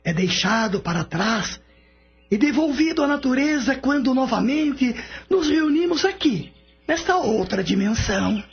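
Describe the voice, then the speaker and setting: A man's voice: very high-pitched, sing-song, gravelly, breathy edge, full character voice. An actor playing a serene older male spirit with a Brazilian accent, patiently explaining in a radio drama. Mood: serene, instructive. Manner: didactic, measured explaining. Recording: dull lo-fi radio recording with music. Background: music.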